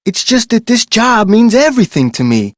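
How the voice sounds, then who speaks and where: Game role: male ex-convict park guard, colloquial, earnest, defensive, very animated delivery, dry recording. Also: high-pitched, moderate pace, no room sound. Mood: apologetic, earnest. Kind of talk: video game voice acting.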